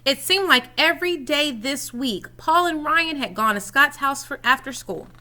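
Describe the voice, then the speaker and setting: Bright, animated woman reading a storybook. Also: high-pitched, normal speed. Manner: animated, dramatizes dialogue for children. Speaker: reading coach reading aloud. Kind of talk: expressive children's storybook read-aloud.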